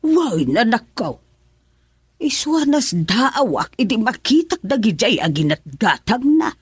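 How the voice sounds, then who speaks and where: A woman's voice: a little high, very animated, unhurried, slightly rough, no room sound, full character voice. A woman playing a middle-aged mother who anxiously pleads with and cares for her sick daughter in a radio drama. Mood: explaining. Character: worried mother caring for her ill daughter.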